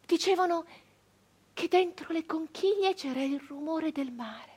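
An actress performing a theatrical radio monologue as a wistful, dreamy peasant woman recalling her girlhood, with halting repetitions and a breathy delivery that moves between whispers and fervour. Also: very high-pitched, a little mellow. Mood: dreamy, yearning. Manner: halting repetitions, dropping into breathy whispers and swelling to fervent cries. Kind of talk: theatrical radio monologue, dramatic first-person storytelling.

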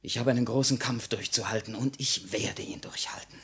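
A man's voice: mid-range voice, a little fast, breathy edge, whispered. A man acting a defiant, idealistic condemned revolutionary in solemn, impassioned 1950 radio drama style. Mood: fervent. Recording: vintage 1950 radio recording.

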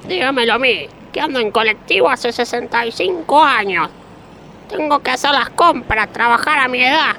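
A woman's voice: sits high, lively intonation, moderate pace, sharp tone, full character voice, low-quality recording. An actress playing an indignant, rambling old working woman with an Argentine accent in a comic radio play. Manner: argumentative, rambling, self-contradicting complainer. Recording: faint vehicle and street noise, people behind.

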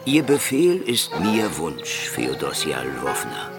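An actor playing a sly, mocking private detective with dry mock courtesy in a German radio drama. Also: male voice, extremely low pitch, wildly swooping pitch, slow, over music, full character voice. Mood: mock-courteous, coaxing. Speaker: lead actor in a radio play.

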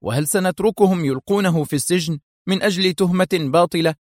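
A man's voice: mid-range voice, quick pace, no room sound, clean studio sound, voice pushed up, natural voice. A man voicing a determined, indignant male intelligence officer in an Arabic audiobook thriller. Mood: shocked, indignant. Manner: indignant, firm, clipped questions and demands.

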